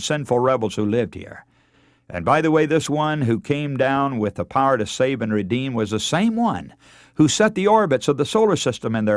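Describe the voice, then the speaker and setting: Animated radio preacher, a man. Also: medium pitch, no echo, studio quality. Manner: earnest, oratorical, addresses listeners as friends. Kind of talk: scripted radio sermon.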